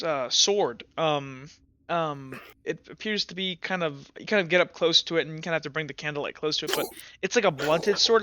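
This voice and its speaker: A man narrates a tabletop game as its game master, feeling his way through each description with ums and restarts. His voice sits a little high and is smooth. The recording is dry and dull, with little top end. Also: fairly bright tone, no room sound. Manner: hesitant, full of ums and restarts.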